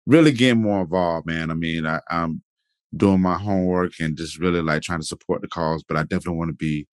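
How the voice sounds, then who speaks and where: A young man with a very low, deep voice hosts a podcast in a laid-back, rambling way. He leans on "man" and "definitely" as he talks. His voice is close and dry, with no room sound. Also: quick pace, no echo. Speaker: podcast host. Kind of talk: casual interview chat.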